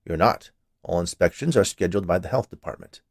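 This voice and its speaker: A man's voice: sits low, a little fast, dry recording, studio quality, pitched below the performer's own voice. A man reading a story, voicing an officious, stubborn male health inspector with a curt, pedantic manner.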